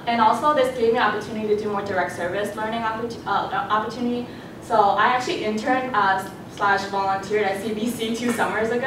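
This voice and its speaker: A young woman whose first language is Cantonese, giving a student presentation in a conversational way with fillers and restarts. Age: young adult. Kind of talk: presentation talk. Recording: echoey hall, voice from a distance, background rumble.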